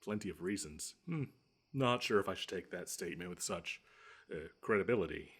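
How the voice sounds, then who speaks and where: Audio roleplay monster, male actor: very low voice, smug, taunting, theatrical, menacing but quick to get flustered. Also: animated, fairly bright tone, breathy edge, exaggerated voice. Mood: grudging, skeptical. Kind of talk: scripted one-sided audio roleplay.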